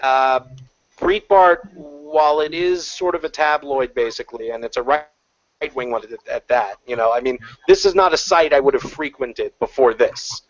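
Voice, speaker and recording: Male panelist, mid-pitched, rambling with many fillers, over muffled call audio. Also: moderate pace, dry recording. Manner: rambling, heavy on fillers and restarts. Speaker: panel guest. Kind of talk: casual online panel chat. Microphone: video call.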